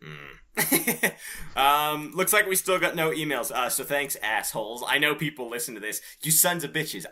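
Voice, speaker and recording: A man's voice: fairly high pitch, big pitch swings, a little slow, pushed and bright. A man co-hosting a podcast with crude, sweary comic banter, often laughing as he talks. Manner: laughs often while talking, sweary and irreverent. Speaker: podcast co-host.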